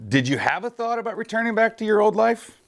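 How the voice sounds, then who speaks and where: A man's voice: high-pitched, very animated, fast, gravelly. A man in his early forties hosting a casual interview, rambling and correcting himself, laughing easily. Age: 40s. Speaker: host.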